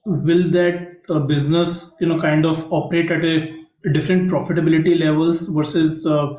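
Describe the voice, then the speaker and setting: An echoey call recording of a man with a fairly high, even-toned voice. He is an analyst posing questions to company management on an earnings call. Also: moderate pace. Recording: echoey, roomy conference call line.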